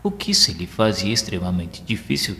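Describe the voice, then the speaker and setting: A man's voice: lowish voice, sing-song, moderate pace, sharp tone. A man narrating an audio drama from a script in a solemn, formal, literary manner. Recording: long hall reverb. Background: background music.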